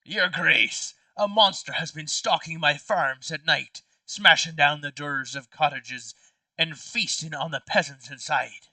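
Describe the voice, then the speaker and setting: A man's voice: on the high side, unhurried, sharp tone, no echo, recorded from a distance, rough recording. A man playing a party game with friends, switching between casual remarks and reading character lines aloud. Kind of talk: casual tabletop play with in-character line readings.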